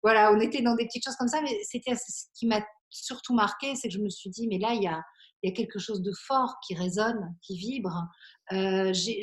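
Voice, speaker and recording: A woman in her forties from Belgium, an interview guest speaking reflectively and earnestly, often halting as she searches for words. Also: on the high side. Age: 40s.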